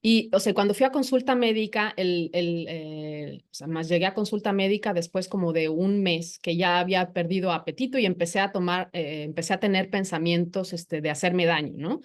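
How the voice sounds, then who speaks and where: A woman's voice: on the low side, normal speed, hardly any pauses. A woman psychologist, a native Spanish speaker, talking informally and explaining things through personal storytelling on a podcast.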